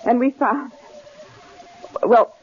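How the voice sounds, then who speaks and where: A woman's voice: a little high, fairly even intonation, drawn-out words, breathy edge, exaggerated voice. A woman acting an anxious, bewildered young wife in a radio drama, speaking earnestly with hesitant stammers. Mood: frightened, urgent. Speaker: actor. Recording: dull, noisy vintage radio drama recording.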